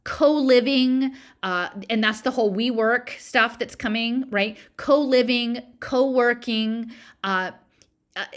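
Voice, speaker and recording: A woman's voice: on the high side, a bit bright. Woman explaining informally, thinking aloud. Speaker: presenter. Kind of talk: informal explanatory talk with commentary.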